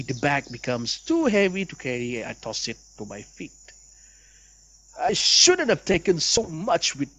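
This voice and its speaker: Expressive high-pitched man reading aloud. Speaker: let's play host. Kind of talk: reading a visual novel aloud with asides.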